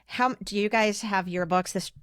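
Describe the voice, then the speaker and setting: A woman's voice: medium pitch, moderate pace, a bit bright, clean tone, no echo, clean studio sound. A middle-aged woman co-hosting a casual podcast chat in an emphatic, blunt, opinionated way. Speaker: podcast co-host.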